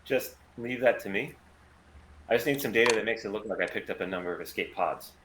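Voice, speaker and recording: A man plays a roguish sci-fi pilot, calm and defiant, in a fairly low voice. He sounds like a man with a plan. There is faint noise on the recording. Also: a little fast, close to an everyday voice. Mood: cool, assured. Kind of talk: sci-fi audio drama table read.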